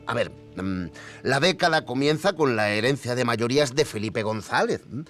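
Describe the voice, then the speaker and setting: Male historian interviewee, played by an actor, Spain accent, mid-pitched, lively intonation, thoughtful, conversational, punctuated by small hums. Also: natural voice. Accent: Spanish from Spain. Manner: reflective, punctuates points with questioning murmurs. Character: male academic historian explaining the nineties. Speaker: expert interviewee.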